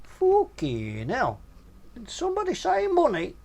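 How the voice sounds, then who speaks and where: A man voicing a loud, crude, scornful hefty woman in a comic story, bellowing and drawing out the swearing. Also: extremely high pitch, wildly swooping pitch, a little slow, gravelly, voice pushed up, full character voice. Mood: greedy excitement.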